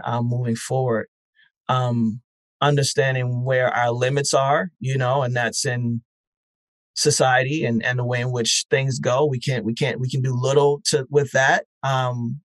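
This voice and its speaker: A man with a medium-pitched voice talks as a podcast guest in an earnest, reflective way. He searches for his words and fills the gaps with "um." The sound is dry and close to the mic. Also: little pitch movement, measured pace, dry recording. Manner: thoughtful, earnest, many "um"s and restarts. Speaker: podcast festival founder, guest. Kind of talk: casual interview talk.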